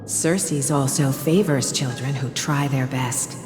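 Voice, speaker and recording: Low-voiced, tender motherly figure, echoing. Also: slow pace. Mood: tender storytelling. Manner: tender, affectionate, soothing. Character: gentle, doting motherly woman telling of destiny. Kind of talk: game voice acting, storytelling. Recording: reverberant hall-like echo with music behind.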